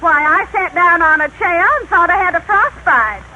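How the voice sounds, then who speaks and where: A woman's voice: very high voice, moderate pace, sharp tone, dull audio, full character voice. A woman acting a folksy, complaining housewife in a 1930s radio comedy. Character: chilled, folksy married woman with deadpan comic complaints. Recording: noisy old 1930s radio recording.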